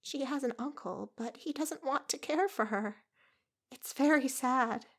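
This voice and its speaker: A woman voicing a gentle, kind young woman who speaks softly and quietly. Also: high-pitched, unhurried, pitched above the performer's own voice, heavily acted. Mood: soft, concerned. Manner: soft, quiet voice.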